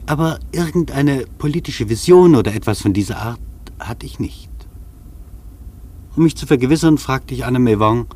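An actor playing a wry, opinionated traveller who narrates his journey in a dry, measured, ironic way, with a husky voice. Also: medium pitch, expressive, a little mellow. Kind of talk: literary first-person radio narration.

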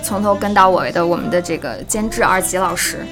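A woman's voice: lowish voice, brisk, music in the background. A woman with a mainland Chinese Mandarin accent chatting casually on a live stream, thanking people effusively and repeating phrases for emphasis. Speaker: voice drama cast or crew member on a live stream.

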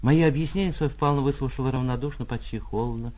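A man, an actor, reading a literary short story dramatically, with a wry, expressive manner and savoured pauses. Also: medium pitch. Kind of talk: dramatic literary audiobook narration.

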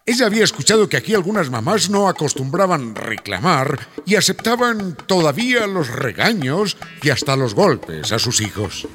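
A man's voice: on the high side, sing-song, normal speed, few pauses, fairly bright tone. A man reading scripted narration for a radio drama in a storytelling role. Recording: music behind narration.

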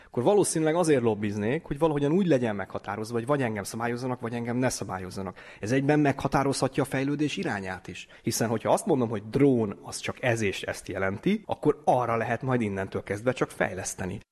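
A man's voice: medium pitch, lively intonation, few pauses, a little mellow. A man giving an interview as an expert, arguing his points with rhetorical questions. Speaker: interviewed company president and legal expert. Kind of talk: interview, explaining.

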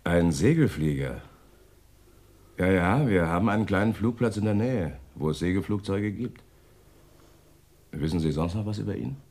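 A low male voice as a local police inspector in a radio detective play. He is obliging and matter-of-fact, with a clipped, businesslike delivery. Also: animated, normal speed, long pauses, darkish tone. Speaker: actor in a radio crime drama. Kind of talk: German radio play acting.

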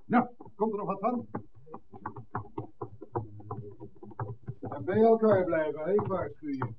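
An actor playing a cold, commanding gang boss in a 1960s radio drama, giving measured threats and curt orders. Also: male voice, high voice, animated, background noise. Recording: tinny, band-limited old radio recording.

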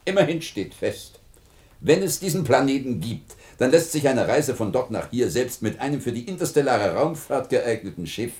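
An actor playing a skeptical, condescending science professor in a radio play, pedantic and ironic. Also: male voice, medium pitch, sing-song, normal speed, darkish tone, natural voice. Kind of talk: German science-fiction radio play acting.

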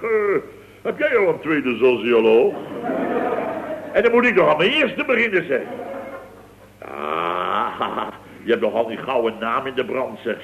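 Blustering boss, swooping and sarcastic. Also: male voice, on the high side, a little hiss or hum. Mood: exasperated grumbling. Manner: grumbling, sarcastic, emphatic. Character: blustering, exasperated, sarcastic male boss of a building firm. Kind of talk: 1970s Dutch radio comedy acting. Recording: dull old radio recording.

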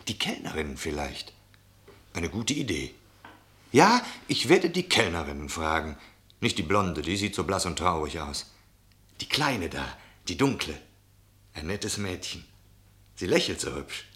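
A cocksure travelling salesman in a radio drama, with a bright, lowish voice that swings widely as he chatters. He is pushy, nosy and full of himself. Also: big pitch swings, measured pace, pauses often, heavily acted. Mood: nosy, musing. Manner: talkative, nosy, self-important. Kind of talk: German radio play acting.

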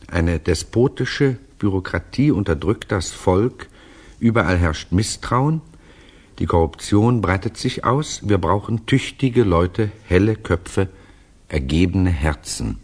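An actor in a 1960s German radio drama playing a thoughtful narrator who explains things in a measured, conversational way. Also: male voice, low-pitched, breathy edge, heavily acted. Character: thoughtful male narrator explaining Russian souls to Westerners.

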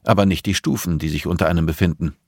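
A man voicing a composed, middle-aged blind teacher in an audiobook, patient and measured, with a dryly pointed way of explaining. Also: deep voice, fairly even intonation, darkish tone, no echo, studio quality. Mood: calm, reflective. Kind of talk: audiobook character dialogue.

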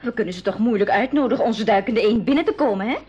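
An actress playing a cheeky, spirited young girl in an animated, pert way in a 1980s school radio drama. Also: on the high side, fast talker, muffled recording, soft background music, close to an everyday voice. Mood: indignant, pert.

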